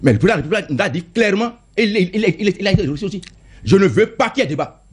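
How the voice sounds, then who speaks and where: A man's voice: sits high, expressive, rapid-fire, slight background noise. A man, a member of parliament arguing in a heated political debate, insistent and often repeating and stammering on words.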